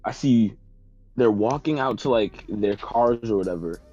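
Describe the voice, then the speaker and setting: A man casually telling a comedic story to friends in slangy, animated speech. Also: fairly low pitch, unhurried, breathy edge, muffled recording. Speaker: podcast guest telling a story. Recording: music playing behind the voice. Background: background music.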